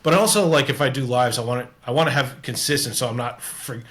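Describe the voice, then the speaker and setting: Canadian man, mid-pitched host, earnest and rambly in casual conversation. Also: fairly quick, a bit bright. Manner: rambling, restarts often, earnest. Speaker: podcast host. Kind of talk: casual interview chat.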